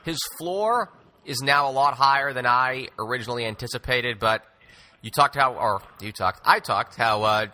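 A man's voice: mid-range voice, normal speed, bright, forceful tone. A man hosting a sports podcast, talking basketball conversationally with wordy, wry asides.

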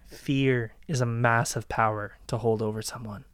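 A man acting a vengeful, obsessive young captor in a dark dramatic podcast reading, speaking in an accusing, deliberate way. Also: medium pitch, steady tone, smooth, no room sound, natural voice. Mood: quietly menacing.

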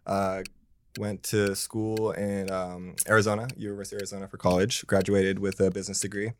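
A young man from the US, a dating game contestant, talking in a relaxed, hesitant way with lots of ums. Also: low voice, a little fast, a little hiss or hum. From San Diego, USA. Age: young adult.

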